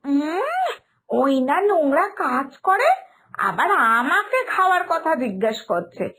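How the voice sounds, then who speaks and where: A woman's voice: high-pitched, big pitch swings, unhurried, fairly bright tone, heavily acted. A woman voicing a fussy, scornful middle-aged mother-in-law in a cartoon drama, nagging emphatically with a West Bengal accent.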